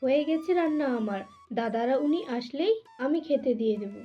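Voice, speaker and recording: Woman voicing high, gentle young daughter-in-law. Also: expressive, brisk, highs cut off, music in the background. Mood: dutiful. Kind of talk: cartoon family drama acting.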